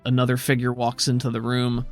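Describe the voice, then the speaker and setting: A man runs a tabletop roleplaying game as its game master. He sets out scenes in measured, descriptive narration. His voice sits at a middle pitch, with music playing under it. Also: monotone.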